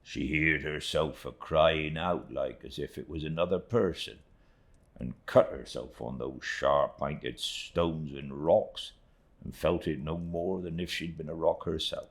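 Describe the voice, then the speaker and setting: A man's voice: a bass voice, fairly even intonation, unhurried, gravelly, full character voice. A man voicing a humble, devout old seafaring uncle in an audiobook, with a rustic East Anglian dialect, earnest and plain-spoken. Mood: grave, haunted. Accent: rustic East Anglian English dialect. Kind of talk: audiobook character reading.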